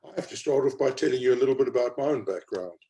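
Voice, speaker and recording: Mellow-voiced South African man. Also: medium pitch, rapid-fire. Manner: reflective, hesitant with many fillers. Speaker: panel speaker. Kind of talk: panel discussion.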